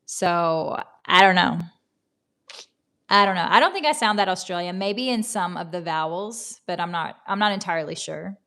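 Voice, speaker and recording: A woman's voice: lowish voice, animated, normal speed, gravelly, fairly bright tone. A woman in her thirties from Tennessee with a Southern American accent, hosting a casual livestream, chatting, laughing often and greeting viewers by name. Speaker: livestream host. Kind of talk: casual chat reading comments.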